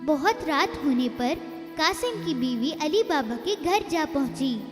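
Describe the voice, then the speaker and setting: A woman narrating a children's moral tale in a slow, expressive storybook manner. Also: sits high, long reverb tail, music in the background.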